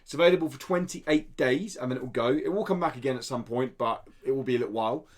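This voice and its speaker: A man's voice: medium pitch, fast talker. A British man hosting a podcast in casual, jokey chat full of slang. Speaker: podcast host.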